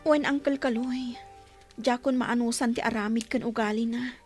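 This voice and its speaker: An actress in a radio drama playing a long-suffering, distressed young wife with a plaintive, earnest way of talking. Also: female voice, fairly high pitch, steady tone, moderate pace, darkish tone, faint music behind, close to an everyday voice. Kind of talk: Ilocano radio drama acting.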